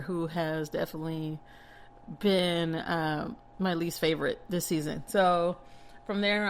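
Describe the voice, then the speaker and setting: A woman's voice: lowish voice, a little hiss or hum. An American woman raised in Texas, hosting her own podcast and talking frankly and emphatically to her listeners about tarot readings. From Texas, United States. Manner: chatty, frank, emphatic, with light laughs. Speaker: podcast host and tarot reader. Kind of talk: casual monologue to listeners.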